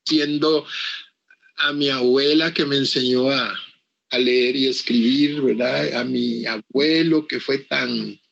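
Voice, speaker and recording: Slow, gravelly older man, halting speech. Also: mid-range voice, fairly even intonation, fairly bright tone, muffled recording. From Costa Rica. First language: English. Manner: halting, full of pauses and restarts. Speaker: author being interviewed. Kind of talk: slow reflective interview answers.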